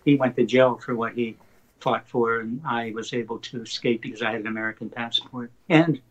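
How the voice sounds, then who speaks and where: An older man whose first language is Slovak, telling rambling stories as a poet being interviewed and getting animated in the anecdotes. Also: medium pitch, a little fast, lo-fi sound. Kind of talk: conversational storytelling interview.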